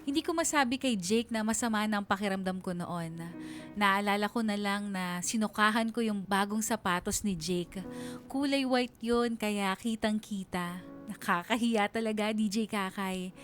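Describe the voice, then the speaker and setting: A woman's voice: medium pitch, normal speed, fairly bright tone, soft background music, close to an everyday voice. A woman playing a bashful young Filipina who narrates her memories in a confiding, self-mocking way for a radio drama. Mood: embarrassed.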